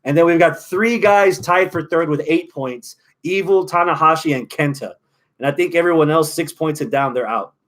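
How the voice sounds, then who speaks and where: A man's voice: fairly high pitch, normal speed, fairly bright tone. A man with an American accent hosting a wrestling podcast, giving opinionated, profane analysis in a casual chat.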